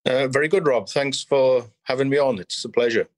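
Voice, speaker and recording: A man in his fifties with a Welsh accent, speaking as an interview guest in a measured, explanatory way. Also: mid-range voice, dry recording. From South Wales. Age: 50s. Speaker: podcast guest, mining company chief executive and geologist. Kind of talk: conversational interview, recounting career.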